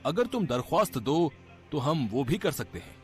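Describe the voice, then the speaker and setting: Man as a pompous, higher-pitched government secretary. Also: big pitch swings, normal speed, darkish tone, faint music behind. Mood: officious. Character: pompous, fussy male government literary secretary.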